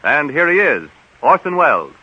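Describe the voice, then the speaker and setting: A man's voice: a little high, big pitch swings, moderate pace, pushed and bright, faint music behind. A man announcing in polished, persuasive 1930s radio style. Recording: noisy muffled 1930s radio broadcast recording.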